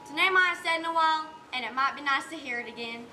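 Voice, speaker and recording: A woman acting a gruff, hard-bitten farm wife on stage, blunt and rustic in her speech, projecting to the house. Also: sits high, rapid-fire, sharp tone. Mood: firm, wry. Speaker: student actor in a one-act play. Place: indoor stage, recorded live. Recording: roomy stage recording, faint background noise.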